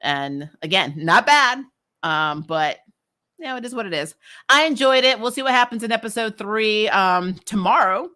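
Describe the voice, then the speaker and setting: Bright-voiced woman chatting through a review. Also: medium pitch, normal speed. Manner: animated, laughs often while talking. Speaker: host of a TV show recap series. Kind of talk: casual, chatty episode review.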